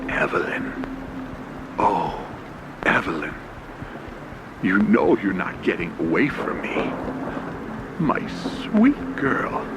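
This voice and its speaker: Menacing male stalker acted by a male narrator, drawn-out whispery taunts, possessive, horror radio play, heavy storm noise. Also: low-pitched, big pitch swings, pitched below the performer's own voice, full character voice. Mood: sinister coaxing. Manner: slow, whispery, taunting coaxing. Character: sinister menacing man stalking a young woman, possessive. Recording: loud rain and storm effects.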